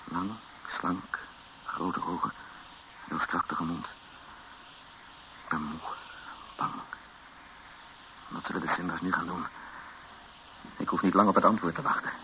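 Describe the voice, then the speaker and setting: An actor playing a weary, frightened captive who narrates a radio drama in brooding short sentences. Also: male voice, sits low, fairly even intonation, measured pace, long pauses. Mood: weary, afraid. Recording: muffled, noisy old radio recording.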